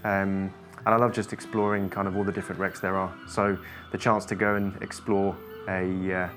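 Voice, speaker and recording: A man who dives on wrecks, giving relaxed, casual interview answers with fillers. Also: deep voice, normal speed, soft background music. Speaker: wreck diver.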